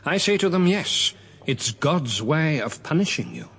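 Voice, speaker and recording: Radio play cleric: sternly self-righteous, raspy, high-pitched, very animated delivery. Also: male voice, a little slow, exaggerated voice. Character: stern, righteous male clergyman preaching punishment. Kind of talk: radio drama declamation.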